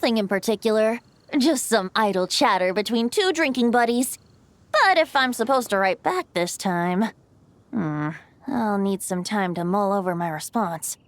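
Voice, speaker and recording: A woman's voice: medium pitch, animated, normal speed, no room sound, close to an everyday voice. A woman voicing a playful, teasing young male bard in a video game, airy and theatrical.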